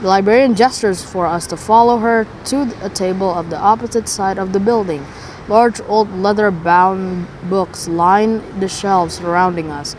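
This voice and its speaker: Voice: medium pitch, normal speed, rough recording. A school student, female-sounding, reading a story aloud in English. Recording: clearly audible background noise.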